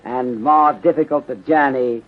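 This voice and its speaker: An actor playing a calm, philosophical police detective in a 1930s radio drama, speaking formally and deliberately with clipped grammar that drops articles. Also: male voice, medium pitch, lightly acted. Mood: measured, thoughtful. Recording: dull, lo-fi 1930s radio recording.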